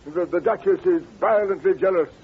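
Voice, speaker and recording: An actor playing an anxious, secretive middle-aged English duke, stammering and repeating himself in old radio drama style. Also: male voice, high-pitched, fairly quick, slightly rough, exaggerated voice. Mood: sheepish worry. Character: flustered aristocratic duke of about fifty-five, anxious, secretive. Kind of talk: 1940s radio acting. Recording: tinny, noisy old radio recording.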